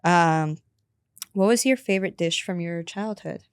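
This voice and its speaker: A woman hosting a podcast, chatting in a relaxed way with many fillers. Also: fairly low pitch, unhurried, clean tone. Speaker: podcast host. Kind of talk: casual interview chat.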